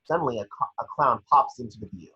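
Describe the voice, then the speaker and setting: A man with a rough, husky voice reading scripted scene descriptions at a table read. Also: medium pitch, lively intonation, fast talker, sharp tone, highs cut off.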